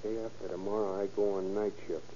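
An actor playing a wisecracking American prisoner turned trustee, slangy and streetwise, in an old radio crime drama. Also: male voice, lowish voice, flat delivery, fast talker, warm tone, natural voice. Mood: sly, flirty. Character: wisecracking male prisoner made jail trustee. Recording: dull, noisy old radio recording.

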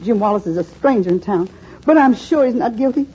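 Kindly old landlady, earnest and pleading; high, husky; dull 1940s radio sound. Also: expressive, a little fast, darkish tone. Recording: muffled 1940s radio broadcast.

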